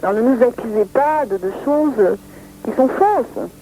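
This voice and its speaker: A woman's voice: a little high, lively intonation, unhurried, warm tone. A woman journalist speaking on the phone in an earnest, insistent manner, often repeating herself. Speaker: magazine journalist. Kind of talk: phone conversation. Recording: noisy phone line recording.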